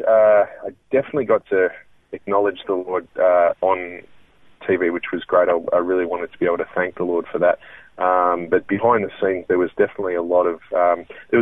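A low-voiced Australian man talks earnestly about his life as a guest, stopping and restarting as he goes. He comes through a tinny, narrow phone line with a dry, close sound. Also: fairly even intonation, no room sound. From Melbourne, Australia. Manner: earnest, halting, many restarts. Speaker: guest comedian and impressionist. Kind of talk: casual interview.

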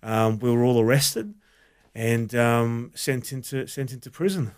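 An Australian man with a smooth, clear voice tells his story in an interview. He speaks earnestly at a middle pitch, halting and circling back as he searches for words. He is a pastor sharing his testimony. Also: animated, a little mellow. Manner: earnest, halting, many restarts. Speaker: associate pastor, guest.

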